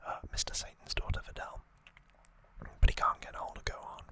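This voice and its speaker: An ASMR host speaking in a breathy whisper, giving a soft, unhurried, casual recap with conversational asides.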